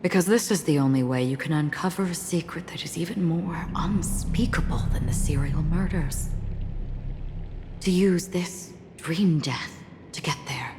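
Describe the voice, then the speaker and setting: A woman voicing a solemn, enigmatic wanderer in a video game, speaking in a measured, cryptic, philosophical way. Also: low-pitched, background noise. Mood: calm, probing. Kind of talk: scripted video game dialogue. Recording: long reverb, clear background ambience.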